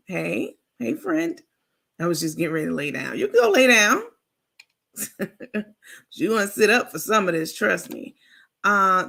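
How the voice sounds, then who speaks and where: A woman with a raspy voice hosting a casual livestream, chatting informally with viewers and reading out their comments. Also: medium pitch, bright, forceful tone. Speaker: livestream host.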